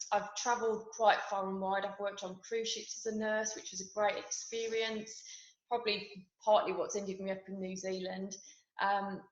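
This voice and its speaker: Woman with a West Midlands accent, echoey call. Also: medium pitch. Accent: West Midlands English. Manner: chatty, with frequent ums and restarts. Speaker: guest, nurse and skincare founder. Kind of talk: casual interview chat. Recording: echoey room, dull lo-fi sound.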